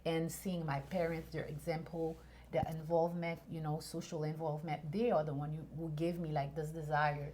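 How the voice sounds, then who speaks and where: A woman's voice: deep voice, little pitch movement, fairly quick, darkish tone, a little hiss or hum. A woman from Haiti in her thirties, a guest attorney talking through her story with frequent fillers and restarts. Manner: thoughtful, many fillers and restarts. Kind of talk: interview conversation.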